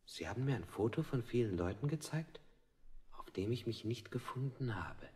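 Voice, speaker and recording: A man playing a dazed prisoner in a radio play, recounting his interrogation in measured, literary sentences. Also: mid-range voice, animated, darkish tone, natural voice. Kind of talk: avant-garde German radio play acting.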